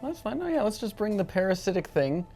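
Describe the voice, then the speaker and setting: A tabletop game player speaks with a very lively, swinging pitch at a middle register. The casual improvised talk is wry and sarcastic, with faint music behind. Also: quick pace, vocal fry.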